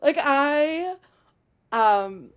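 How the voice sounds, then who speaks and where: A woman podcast host and sex educator, hoarse and raspy from a cold, chatting emphatically and laughing often. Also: high voice, expressive, extremely slow. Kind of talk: casual podcast conversation.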